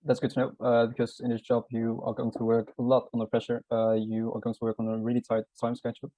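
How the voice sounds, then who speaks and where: A man conducting a casual job interview, relaxed and informal, with frequent fillers. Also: medium pitch, quick pace, no echo. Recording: dry, dull lo-fi audio.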